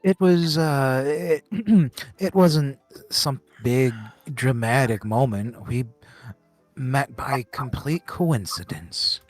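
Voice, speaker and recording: Man playing a slow, proud, prickly warrior. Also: mid-range voice, no room sound, soft background music. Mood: defensive, flustered. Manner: halting delivery with throat clearing. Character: proud, prickly, boastful noble warrior traveller. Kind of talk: amateur live fan dub of a video game.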